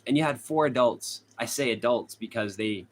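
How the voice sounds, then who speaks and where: A man's voice: medium pitch, moderate pace, slight background noise. A young man with a Boston accent hosting a casual true crime livestream, chatting with viewers, reading their comments aloud and laughing often. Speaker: true crime channel host. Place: hot room at home.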